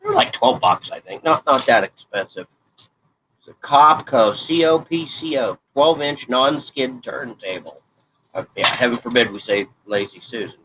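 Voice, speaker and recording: A man's voice: mid-range voice, expressive, moderate pace, sharp tone, rough recording. Brief: stuffed-up man in his 40s, folksy rambler, plain mid voice, faint noise behind. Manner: folksy, rambling, self-correcting. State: congested, stuffed-up nose. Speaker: hobby crafting vlogger. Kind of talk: casual rambling chat while crafting.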